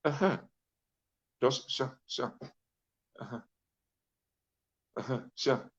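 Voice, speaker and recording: A man's voice: medium pitch, slow. A Nigerian-accented man delivering an impassioned informal rant to his audience in Pidgin, exclamatory and insistently repeating his phrases. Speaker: talk show host. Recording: dull lo-fi audio.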